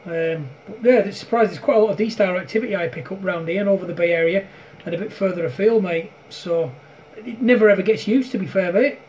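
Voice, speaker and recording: A man's voice: high voice, brisk, darkish tone, slight background noise, low-quality recording. A man chatting casually and matily over amateur radio, with an informal, bantering manner. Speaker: amateur radio operator filming a contact.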